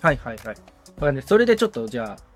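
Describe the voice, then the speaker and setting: A man in a casual consultation, asking tentative questions as a beginner and often chiming in with quick acknowledgements. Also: mid-range voice, sing-song, faint music behind.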